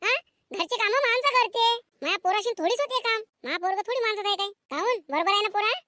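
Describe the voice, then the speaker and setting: Performer playing indulgent cartoon mother, shrill and sharp, fast-talking, scolding, rural Maharashtrian Marathi accent. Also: expressive, full character voice. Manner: scolding husband, coddling son. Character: doting, indulgent, quarrelsome village mother. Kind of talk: comedic cartoon skit dialogue.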